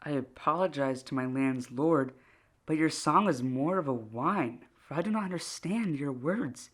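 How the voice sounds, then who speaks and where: A man's voice: on the high side, moderate pace, natural voice. A man playing a melancholic boy in a puppet film, speaking formal, earnest verse. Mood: earnest, defiant. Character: melancholic rhyming boy, bitter yet earnest.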